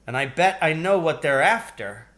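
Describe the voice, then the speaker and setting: A man's voice: fairly high pitch, expressive, moderate pace, a bit bright, pitched above the performer's own voice. A man voicing an earnest, know-it-all schoolboy son from a farm family in a storybook. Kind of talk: acted storybook dialogue.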